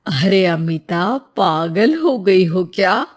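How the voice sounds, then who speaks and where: A woman's voice: on the low side, animated, slow pace. A woman playing scoffing, doubtful naysayers in a moral tale, with a scolding, challenging manner. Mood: alarmed scolding.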